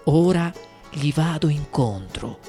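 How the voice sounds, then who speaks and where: A man's voice: on the high side, lively intonation, warm tone. Man acting a weary, resolute old sea captain. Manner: solemn, deliberate. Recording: music behind the voice.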